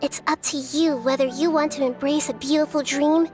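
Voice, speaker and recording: A woman voicing a wise, compassionate young girl deity in a video game, speaking in a measured, soft-spoken, thoughtful way. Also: sits high, steady tone, over music, lightly acted. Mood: earnest, encouraging. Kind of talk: scripted video game dialogue.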